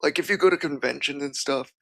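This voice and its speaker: A man's voice: a little high, fast, vocal fry, a bit bright. A man who works as a freelance voice actor, chatting as a guest in a hesitant way, full of restarts and "you know". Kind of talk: casual chat.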